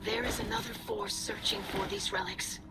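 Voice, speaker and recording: A woman's voice: mid-range voice, fairly even intonation, normal speed, loud music behind the voice, lightly acted. A woman playing an earnest young alien scribe in a video game, speaking formally and with urgency. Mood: formal, wary. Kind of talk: dramatic video game acting.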